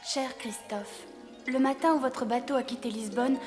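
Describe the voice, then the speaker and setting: A woman's voice: high-pitched, moderate pace, darkish tone. A woman voicing a tender young lady in a French cartoon, reading out a love letter as a voice-over with reverb. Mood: tender, wistful. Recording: long reverb with music behind.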